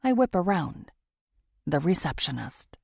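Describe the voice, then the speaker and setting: A woman narrates a scripted thriller with dramatic expression. Her voice sits a little low and moves through a very lively range. The sound is dry, with hardly any room to it. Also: a little slow, creaky, slightly rough, no room sound. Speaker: audiobook narrator.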